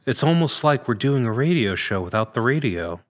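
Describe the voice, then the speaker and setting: A man's voice: medium pitch, big pitch swings, brisk. A man in his thirties talking casually as an actor being interviewed, speaking into a podcast microphone. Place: large indoor room with hard floor and open ceiling. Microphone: podcast microphone on a boom arm.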